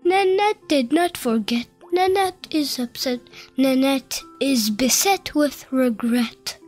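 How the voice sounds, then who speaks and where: Woman, children's read-aloud, unhurried, playful, high voice. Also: darkish tone. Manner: slow, playful storybook reading with voiced exclamations. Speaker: narrator reading a picture book aloud. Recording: faint music behind the voice.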